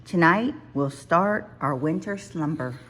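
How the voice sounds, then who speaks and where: A gentle mother bear from a picture book, read by a woman. She speaks slowly in a slightly low, motherly voice. It suits a bedtime read-aloud. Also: lively intonation, husky, exaggerated voice.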